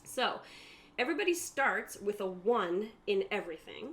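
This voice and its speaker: A woman's voice: mid-range voice, big pitch swings, moderate pace, a bit bright. Casual woman game master, noisy room. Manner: casual, chatty explaining. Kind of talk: explaining tabletop game rules on a stream. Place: room at a group gaming table. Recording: noticeable room noise behind the voice.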